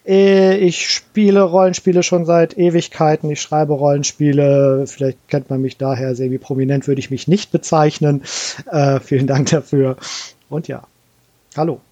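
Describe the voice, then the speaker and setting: A man's voice: a little high, level tone, moderate pace, warm tone, no room sound, dull audio. A man in his forties from Hamburg, a guest in a casual podcast chat, easygoing with brief replies. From Hamburg, Germany. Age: 40s. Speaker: guest, convention founder.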